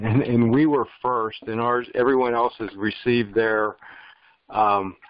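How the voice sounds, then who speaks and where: A man calling in by phone with a question, explaining haltingly in a hesitant, conversational way. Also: medium pitch, no echo. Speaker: caller asking a question. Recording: dull phone audio, cutting out.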